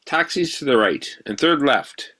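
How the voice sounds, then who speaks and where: An actor playing an annoyed male immigration official, impatient and clipped, with a rough voice, in a science fiction audio drama. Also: medium pitch, lively intonation, a little slow, sharp tone.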